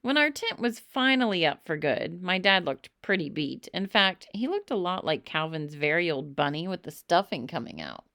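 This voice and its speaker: A woman reading a children's story aloud in an expressive narrating style, with emphatic, dramatic phrasing. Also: lowish voice, normal speed, few pauses, dry recording, clean studio sound. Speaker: storybook reader.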